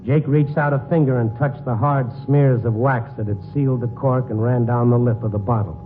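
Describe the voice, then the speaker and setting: An actor playing a grim, wry newspaper reporter who narrates a noir radio drama in a brooding, clipped, dramatic way. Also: male voice, mid-range voice, steady tone, fairly quick, faint music behind, natural voice. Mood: tense, wry. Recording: tinny 1950s radio broadcast recording.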